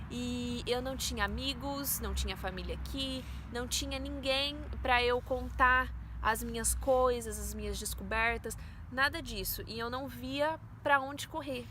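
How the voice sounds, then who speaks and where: A woman's voice: sits high, normal speed, bright, forceful tone, a little hiss or hum. A woman in her twenties with a Brazilian Portuguese accent, earnestly telling viewers a personal faith testimony. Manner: earnest, storytelling, addresses viewers as "gente". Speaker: vlogger.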